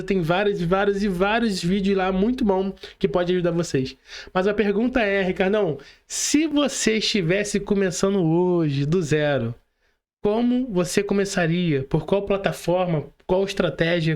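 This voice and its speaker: A man's voice: sits high, moderate pace, darkish tone. A Brazilian man speaking casually as a podcast host, in informal, slangy talk addressed straight to his listeners. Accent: Brazilian Portuguese.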